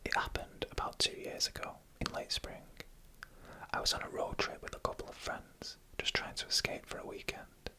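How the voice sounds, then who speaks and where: ASMR narrator, fully whispered, crisp bright hiss, breathy, deliberate phrasing, scripted storytelling.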